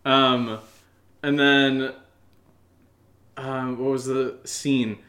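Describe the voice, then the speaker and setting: A man co-hosting a podcast, answering questions in a casual, jokey, rambling and halting way. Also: mid-range voice, flat delivery, bright, forceful tone. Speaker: co-host of an audio drama podcast answering listener questions. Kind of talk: casual unscripted Q&A chat.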